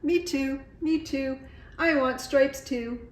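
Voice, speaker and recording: A woman voicing an eager, excitable female iguana in a storybook, exclaiming and repeating herself. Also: sits high, slow, echoey room, voice pushed up. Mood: eager, excited.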